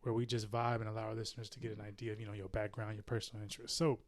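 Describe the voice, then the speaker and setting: A man's voice: on the low side, level tone, rapid-fire. A man hosting a podcast, introducing his guest in an upbeat, conversational way and reading out the guest's bio. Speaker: podcast host. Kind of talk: podcast introduction and casual chat.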